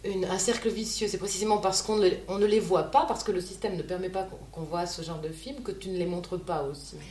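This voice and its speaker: A woman's voice: fairly low pitch, fairly even intonation, fairly quick, a little mellow, a little hiss or hum. A woman hosting a talk program, speaking in a wry, conversational way with many asides. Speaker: host. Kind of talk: radio interview and commentary.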